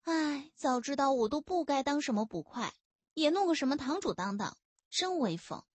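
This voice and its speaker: Young policewoman, played by a female narrator: cheeky, boastful, high and animated, for a historical audiobook. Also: normal speed, no room sound. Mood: envious. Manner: teasing, lively. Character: young female constable, cheeky, playful, boastful and quick-tongued.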